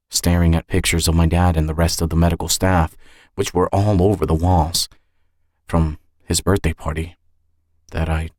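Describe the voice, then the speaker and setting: Deep-voiced man narrating, dry sound. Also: darkish tone, no echo. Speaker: narrator and author. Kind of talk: scripted first-person story narration.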